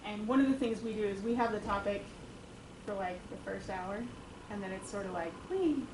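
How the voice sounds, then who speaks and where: A woman who leads a support group, talking quickly in a group discussion and laughing as she goes. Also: medium pitch. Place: meeting room with a group. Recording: echoey room, noisy.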